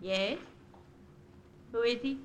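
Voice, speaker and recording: An actress playing a proud young French aristocratic woman in old-time radio drama, measured and formal. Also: fairly high pitch, a little hiss or hum. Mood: reserved. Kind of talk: 1940s-50s radio drama acting.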